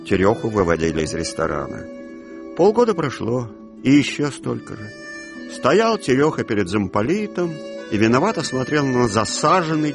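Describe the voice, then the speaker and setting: A man reading scripted literary narration for a radio drama, measured and colloquial in his storytelling. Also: lowish voice, gravelly. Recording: music bed behind the voice.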